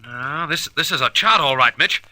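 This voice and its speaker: A man's voice: on the high side, expressive, normal speed, sharp tone, slight background noise, low-quality recording. An actor playing a decisive space hero who leads his crew in an old-fashioned radio adventure, thinking aloud as he gives orders. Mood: intent, excited.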